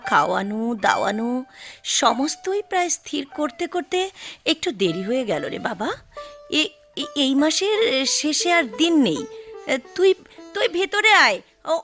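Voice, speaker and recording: A woman acting as an affectionate, fussy middle-aged Bengali mother in a radio drama, with halting, exclaiming delivery. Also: sits high, big pitch swings, fairly bright tone, soft background music, exaggerated voice. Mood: fussy, explaining.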